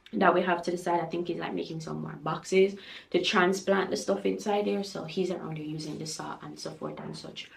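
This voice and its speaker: A young woman with a Jamaican accent, slightly hoarse, rambling through her day in a casual home vlog with many ums and quiet trailing endings. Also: fairly low pitch, a little hiss or hum. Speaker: vlogger. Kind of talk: casual daily vlog narration. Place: at home, bathroom. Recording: echoey room, voice a bit far from the mic.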